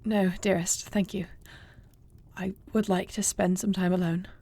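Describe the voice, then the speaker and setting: A woman's voice: mid-range voice, flat delivery, a little slow, creaky, warm tone, heavy reverb. A woman playing a newly crowned young dragon shifter queen in an audio roleplay, speaking formally and earnestly. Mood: drained, subdued. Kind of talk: scripted audio roleplay acting.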